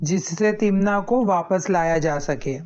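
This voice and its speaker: Menacing demon villain for an animated tale, played by a woman. Clear, mid-pitched, deliberate delivery, lo-fi recording. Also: close to an everyday voice. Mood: resolute. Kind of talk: Hindi animated moral story dialogue.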